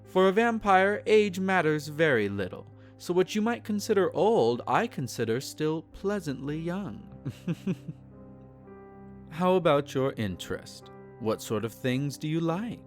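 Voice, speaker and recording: A man performs a centuries-style vampire gentleman, possessive and teasing, in an intimate audio roleplay. His formal, silky delivery is slow and rises and falls widely. Gentle guitar music plays underneath. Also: fairly high pitch, big pitch swings. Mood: courteous, curious. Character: possessive, teasing, courtly male vampire, centenarian yet youthful. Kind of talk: intimate roleplay audio, scripted character acting.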